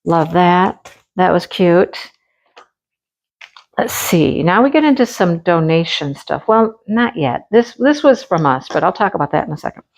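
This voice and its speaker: A woman's voice: lowish voice, lively intonation, a little slow. A woman from Michigan who is losing her voice, hosting her craft channel and chatting casually as she shows things off. She gushes often about things she loves. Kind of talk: casual show-and-tell chat.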